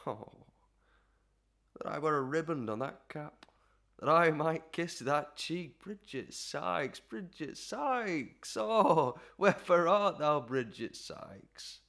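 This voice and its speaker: A man playing a pompous, lovestruck parish official in a Victorian melodrama, grandiloquent and mock-poetic, often clearing his throat. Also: on the high side, animated, takes it slowly, a bit bright, full character voice. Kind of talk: Victorian melodrama audio acting.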